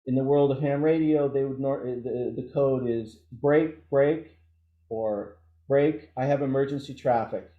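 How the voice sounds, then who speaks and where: A man giving an informal training presentation as an instructor, explaining things haltingly and thinking aloud through examples. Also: medium pitch, steady tone. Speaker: emergency radio trainer. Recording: dull, roomy, echoey audio.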